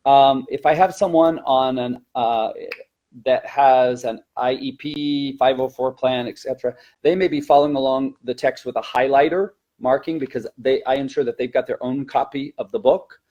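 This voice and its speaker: A man's voice: medium pitch, fairly even intonation, moderate pace, dark tone, muffled recording. A man who teaches elementary Spanish, giving an explanatory teacher-training talk in a hesitant, self-correcting way.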